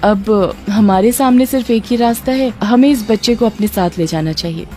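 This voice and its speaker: A woman voicing a kind, anxious young newlywed wife in a storybook tale, concerned and expressive. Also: medium pitch, normal speed, soft background music, pitched above the performer's own voice, lightly acted.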